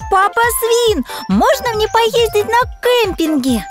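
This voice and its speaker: A woman voicing a stubborn, excitable little girl pig in a children's toy cartoon, whiny and exclaiming. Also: extremely high pitch, slow pace, full character voice. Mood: pleading. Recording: children's music over the voice.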